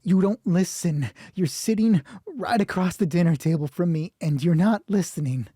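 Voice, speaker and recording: A man's voice: high voice, moderate pace, dark tone, husky, dry recording, studio quality. A man acting a whiny, middle-aged husband who accuses and snaps in exasperation, raising his voice in an audio drama. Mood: accusing. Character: whiny, aggrieved middle-aged balding husband, easily angered.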